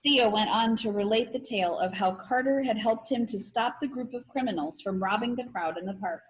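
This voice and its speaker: A woman's voice: a little high, brisk, poor audio. Woman reading a children's story aloud. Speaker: read-aloud narrator.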